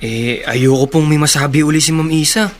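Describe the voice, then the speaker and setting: A man's voice: a little high, steady tone, a little fast, a little hiss or hum, close to an everyday voice. Radio drama gardener: timid young man, acted by a male performer, deferential, anxious, light background ambience. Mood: humble, worried. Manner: nervous, stammering, deferential. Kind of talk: Tagalog radio drama acting.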